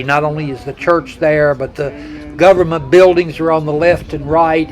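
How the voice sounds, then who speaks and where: A man gives a relaxed, reflective voice-over narration for a travel vlog. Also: fairly high pitch. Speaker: travel vlogger.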